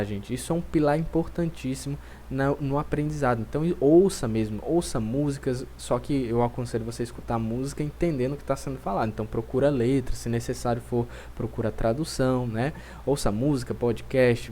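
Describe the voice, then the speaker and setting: Lively Brazilian Portuguese teacher, man. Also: mid-range voice, fairly quick, hardly any pauses, a little hiss or hum. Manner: lively, coaxing, repeats tag questions. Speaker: language teacher. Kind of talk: online language lesson.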